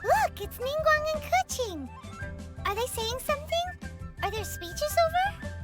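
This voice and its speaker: A woman's voice: very high voice, very animated, a little slow, a bit bright, exaggerated voice. A woman voicing a tiny childlike fairy companion in a video game, chatty and naive in manner. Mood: curious. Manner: speaks of herself in third person, childlike. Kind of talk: video game character acting. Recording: music behind the voice. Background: game background music.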